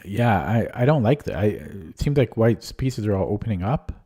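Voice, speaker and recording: A man's voice: on the low side, sing-song, moderate pace, mellow, husky. A man losing his voice, reviewing his chess game aloud in a casual, hesitant way with frequent ums and restarts. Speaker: chess streamer.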